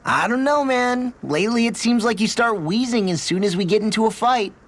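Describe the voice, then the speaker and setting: A man's voice: sits high, brisk, fairly bright tone. An actor playing a brash but earnest teenage boy fighter who talks informally in a video game cutscene. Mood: prodding.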